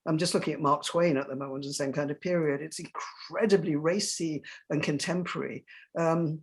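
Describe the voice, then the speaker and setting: Woman with a low voice, playwright on a panel, reflective, emphatic, searching for words. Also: fairly even intonation, a little fast, breathy edge.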